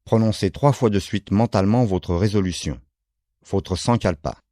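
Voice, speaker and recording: A man guiding a yoga relaxation session, giving calm, measured instructions in short phrases with pauses between them. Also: fairly low pitch, dry recording, clean studio sound. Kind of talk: guided relaxation, slow spoken instructions.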